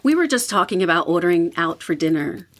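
A woman's voice: fairly low pitch, very animated, a little fast, a bit bright. A woman playing an easygoing, curious young roommate with a casual, playful way of talking in an audio drama. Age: young adult. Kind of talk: horror audio drama dialogue.

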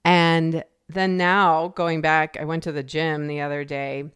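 Smooth-voiced woman, close dry mic. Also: sits low, little pitch movement, measured pace, a bit bright, no room sound. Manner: conversational, reflective, laughs often, acts out quoted thoughts. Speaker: podcast host. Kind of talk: casual solo podcast talk.

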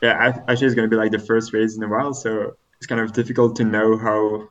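A man's voice: fairly low pitch, flat delivery, fast, a bit bright, dull audio. A young Belgian man, a native French speaker talking English with a French accent, answering interview questions hesitantly with many fillers. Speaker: triathlete guest.

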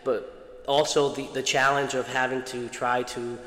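A man's voice: mid-range voice, level tone, unhurried, a bit bright. Man interviewing in an echoey room. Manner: supportive, repeats words as he restarts. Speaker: school staff interviewer. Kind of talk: interview, informational outreach.

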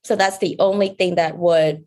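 Young woman from Vietnam, beauty founder; soft, unhurried guest storytelling with small laughs. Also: lowish voice, fairly even intonation. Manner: soft-spoken, fillers and restarts, light laughs. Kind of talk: casual interview talk.

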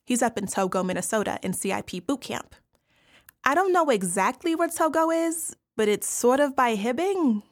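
A woman's voice: a little high, expressive, moderate pace. Woman playing a wry, matter-of-fact inmate. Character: wry woman inmate narrating prison life. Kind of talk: audio play monologue.

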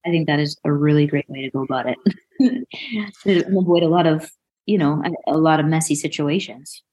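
A woman of forty with a low-pitched voice speaks as a coach being interviewed. Her delivery is frank and informal, like an unguarded chat. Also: fairly even intonation, fairly quick, mellow, rough recording. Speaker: mindset coach, interview guest.

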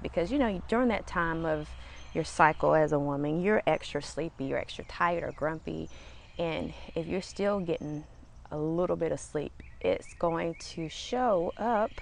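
A woman with a small, slightly raspy voice chats casually on her own podcast, rambling along with fillers. Her low voice has a gravelly edge. She is recording outdoors, with a faint hum of noise behind her. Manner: relaxed, rambling, chatty with fillers. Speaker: podcast host. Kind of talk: casual solo podcast talk. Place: outdoors in the sun.

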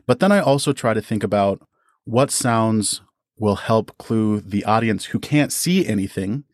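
A man's voice: fairly low pitch, animated, unhurried, no room sound, clean studio sound. A man answering interview questions as a podcast guest, explaining his craft conversationally and with enthusiasm. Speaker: audio drama producer and performer, podcast guest.

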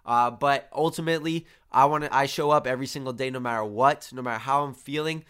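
A man's voice: mid-range voice, steady tone, fast, a bit bright, clean tone. Congested, nasal man giving a motivational talk. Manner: earnest pep talk, repetitive emphasis, often addressing listeners as guys. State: congested, a little tired. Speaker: host and fitness coach. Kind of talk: motivational podcast monologue.

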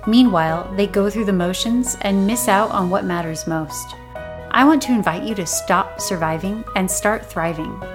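A woman in her thirties talks straight to her listeners in a self-help podcast. Her voice is a little raspy and dry today, at a middle pitch. She sounds earnest, gentle and encouraging throughout. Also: moderate pace, music in the background. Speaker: podcast host.

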